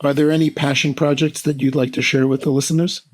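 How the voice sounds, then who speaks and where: A man hosting a podcast, with a raspy voice, speaking in an enthusiastic, affirming way. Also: on the high side, flat delivery, fast talker, darkish tone. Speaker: podcast host. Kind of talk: casual interview talk.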